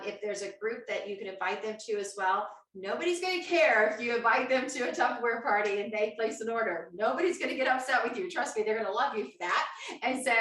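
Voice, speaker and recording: Woman host, bright and forceful, chatty audience quizzer, set back from the mic in an echoey room. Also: fairly high pitch, recorded from a distance. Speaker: host of an online cooking show. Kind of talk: upbeat live-stream hosting.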